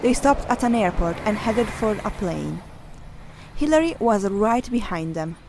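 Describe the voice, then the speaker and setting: A woman's voice: medium pitch, lively intonation, a little slow, background noise. A woman narrating a children's adventure story from a script.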